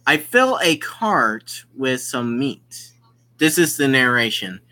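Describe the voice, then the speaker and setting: A young man casually commenting on a visual novel and reading its text aloud, slangy and excitable. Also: mid-range voice, takes it slowly. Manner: slangy, excitable, exclaims often. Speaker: let's play gamer.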